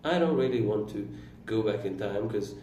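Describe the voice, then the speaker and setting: A man in his twenties whose first language is Turkish, talking slowly about his own life in a halting way, pausing and correcting himself. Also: on the low side, lively intonation, dark tone. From Iran. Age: 20s. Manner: slow, halting, with pauses and self-corrections. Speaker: vlogger. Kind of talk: reflective personal monologue. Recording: roomy, distant mic with faint room noise.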